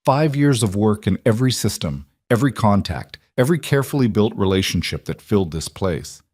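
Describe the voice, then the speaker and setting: A man reading a scripted dramatic story in a measured, solemn manner. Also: lowish voice, animated, a little mellow, dry recording, clean studio sound. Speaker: narrator.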